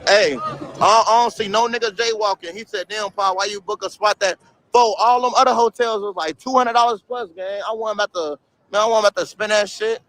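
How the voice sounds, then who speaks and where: A young man with a high, bright, ringing voice talks loosely to his stream chat, full of slang and swearing. He reads viewer comments aloud and laughs them off while out on a city street, talking into a phone held at arm's length. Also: brisk, rough recording. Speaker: livestreamer. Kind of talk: casual livestream chat reading viewer comments.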